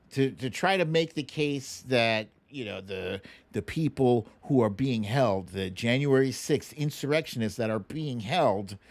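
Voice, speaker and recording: A man hosting casual, comic political commentary as storytelling, emphatic and slangy, with swearing and dramatic pauses. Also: mid-range voice. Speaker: host of a political commentary show.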